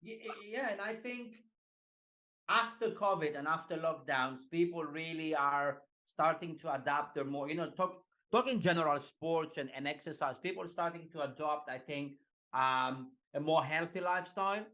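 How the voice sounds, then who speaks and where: A man in his forties from Greece talks loosely, slowing down with fillers and small laughs now and then. His voice sits fairly high, and he comes through a video call. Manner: relaxed, halting, many fillers and light laughs. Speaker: guest, executive coach. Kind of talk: casual interview chat.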